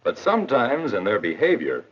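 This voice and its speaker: An American man playing a calm, patient, middle-aged school authority figure in a 1940s educational film, speaking in a measured, didactic way. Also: mid-range voice, breathy edge. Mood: earnest, lecturing. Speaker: school principal or teacher. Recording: dull, lo-fi 1940s film soundtrack.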